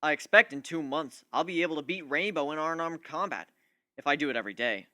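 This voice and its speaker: A man's voice: a little high, fast talker, sharp tone, no echo, clean studio sound. A man voicing a cocky, scheming male griffin in a dramatic story reading, talking in a boastful, glib way. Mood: smug, confident. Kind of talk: dramatic reading of fan fiction.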